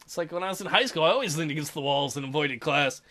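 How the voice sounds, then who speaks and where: A man's voice: on the high side, fast, sharp tone. A young man giving casual, joking let's-play commentary, swearing and hesitating with fillers.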